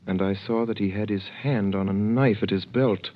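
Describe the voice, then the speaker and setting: A man's voice: fairly low pitch, steady tone, moderate pace, lo-fi sound, lightly acted. An actor in his twenties narrating and playing an eager young sailor in a 1930s radio drama, earnest in manner, on a hissy old recording. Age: 20s. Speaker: narrator and lead.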